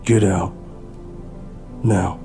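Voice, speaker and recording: A man's voice: deep voice, fairly even intonation, extremely slow, dark tone, faint music behind. A man playing a weary, embittered, protective father of a sick child in an audio drama, intense and emotional. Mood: bitter, angry. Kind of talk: dramatic audio play acting.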